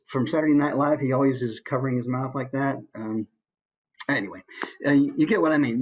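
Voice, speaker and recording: A man giving a casual, playful instructional talk as a voice actor. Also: mid-range voice. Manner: animated, playful.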